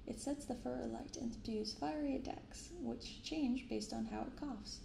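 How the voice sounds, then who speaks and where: A woman in her twenties speaking softly and gently in a casual, unhurried ASMR style as she hosts an unboxing. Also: fairly high pitch. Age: 20s. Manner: soft-spoken, gentle, unhurried. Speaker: host of a card-opening ASMR channel. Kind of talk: soft-spoken ASMR, casual unboxing chat. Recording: roomy binaural recording with clear background noise.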